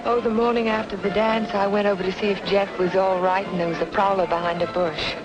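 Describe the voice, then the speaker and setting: A woman's voice: mid-range voice, little pitch movement, fairly quick, dull audio, close to an everyday voice. A woman as a poised professional in film noir. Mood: composed, curious. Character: poised, intelligent young professional woman, cool and composed. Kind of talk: old film noir drama acting.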